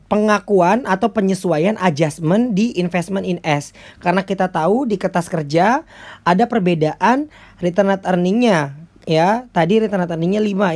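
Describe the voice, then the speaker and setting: A woman's voice: lowish voice, a little mellow. A woman with an Indonesian accent giving an explanatory lecture step by step, in a conversational manner with frequent little check-in tags. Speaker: accounting lecturer.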